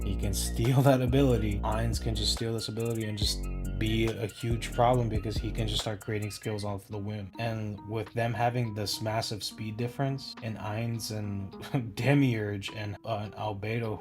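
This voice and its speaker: A young man talking casually in a flat, monotone way, rambling with many restarts and fillers as he breaks down a versus battle. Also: fairly low pitch. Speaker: YouTube versus-battle analyst. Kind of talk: casual spoken analysis over music. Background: lo-fi hip hop music.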